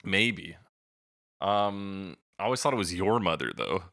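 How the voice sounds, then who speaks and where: A man with a deep voice that still has a bright edge, in a dry, close recording. He chats casually as a podcast co-host, loose and joking, often quoting lines. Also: lively intonation, measured pace, dry recording. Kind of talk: casual chat about song lyrics.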